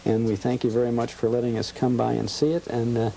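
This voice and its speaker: Creaky-voiced man hosting an interview. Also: medium pitch, flat delivery, quick pace, mellow. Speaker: TV show host and interviewer. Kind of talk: casual on-location interview. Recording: noisy deteriorated cable TV tape.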